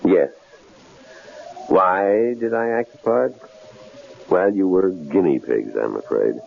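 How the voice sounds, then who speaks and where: A man acting a shrewd, self-satisfied middle-aged professor in a radio drama, with a gravelly voice and a halting, folksy delivery. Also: sits low, expressive, exaggerated voice. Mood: calm, explaining. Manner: halting, repeats words. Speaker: actor. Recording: dull, noisy vintage radio drama recording.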